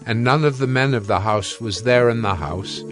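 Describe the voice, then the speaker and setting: A man narrating scripture from a script in a solemn, measured manner. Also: mid-range voice, fairly bright tone, faint music behind.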